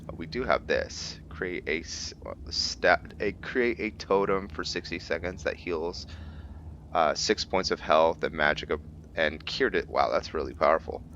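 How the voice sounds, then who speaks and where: Slow, low-pitched man with a bright edge. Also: muffled recording, slight background noise. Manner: halting, musing aloud, thinking through his character. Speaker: gamer hosting a roleplay playthrough. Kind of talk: casual gameplay commentary.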